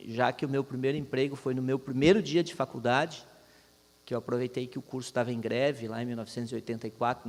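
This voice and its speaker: A man's voice: medium pitch, a little mellow. A man in his fifties, a veteran journalist, talking to university students in a thoughtful way, with many restarts and fillers. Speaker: guest speaker, veteran journalist. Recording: long hall reverb.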